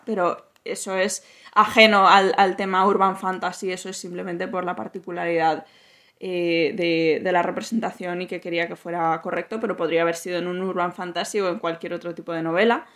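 A woman from Madrid talks about her writing in a podcast interview. She weighs her words and often pauses or restarts a phrase. Her voice sits at an ordinary middle pitch for a woman. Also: fairly bright tone. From Spain, Madrid. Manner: thoughtful, many pauses and restarts. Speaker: novelist guest.

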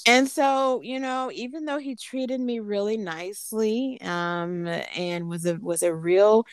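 A woman's voice: mid-range voice, slow, clean tone, no room sound. A woman in casual conversation, animatedly retelling a personal story and acting out what she said. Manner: animated, quotes herself in raised voice, frequent restarts.

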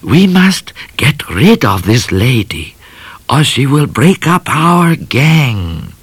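A man reading aloud, voicing a clever, soft-spoken male talking cat in a storybook. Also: medium pitch, very animated, slow, breathy edge, dry recording, pitched above the performer's own voice, full character voice. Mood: earnest, hushed. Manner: soft, hushed, often whispering.

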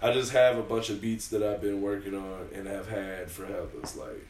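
A man's voice: low-pitched, moderate pace, a little mellow, roomy sound, slight background noise. Loose, stammering young man on a podcast. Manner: loose, profane, stammering restarts. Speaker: podcast co-host and beatmaker. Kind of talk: casual chat. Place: small home room.

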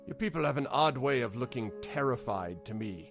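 A man's voice: mid-range voice, animated, normal speed, natural voice. A man voicing a blunt, commanding keep leader in a tabletop game, with faint music behind. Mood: stern.

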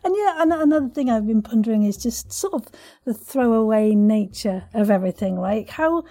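A woman's voice: a little high, animated, moderate pace, warm tone. A woman hosting a casual podcast interview, chatty and effusive, with playful turns of phrase.

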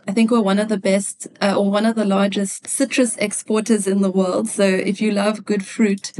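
A woman with a South African accent from Cape Town, an economist answering interview questions in a thoughtful way, often pausing to find her words. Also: medium pitch, darkish tone. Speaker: guest, economist.

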